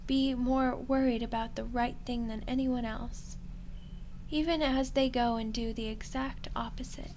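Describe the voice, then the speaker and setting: A woman playing an ancient female demon in a roleplay ASMR drama, smug, condescending and coolly menacing, speaking deliberately with dramatic pauses. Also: a little high, a little hiss or hum, natural voice. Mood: smug, lecturing.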